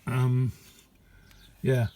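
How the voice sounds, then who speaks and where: A middle-aged man, giving a casual, halting and unhurried hands-on demonstration as a radio hobbyist. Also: mid-range voice, level tone, mellow, a little hiss or hum. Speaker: radio hobbyist showing a homemade receiver. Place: indoor hobby radio room.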